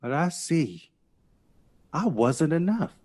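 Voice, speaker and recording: A man's voice: on the high side, sing-song, takes it slowly, darkish tone, exaggerated voice. An actor playing a proud, wounded male lover and performing artist in a period audio drama. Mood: hurt pleading.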